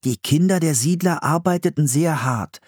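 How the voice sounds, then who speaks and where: A man's voice: fairly high pitch, normal speed, darkish tone, no room sound, clean studio sound, pitched above the performer's own voice, natural voice. A man voicing a cautious, bookish young boy with an earnest, slightly plaintive manner in a children's audiobook. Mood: studious reading. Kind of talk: audiobook children's story character voice.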